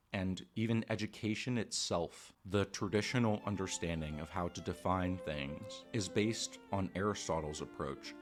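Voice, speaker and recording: Deep-voiced man in lecturing voice-over. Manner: measured, lecturing. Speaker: commentary narrator. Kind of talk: scripted narration. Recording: music bed under voice.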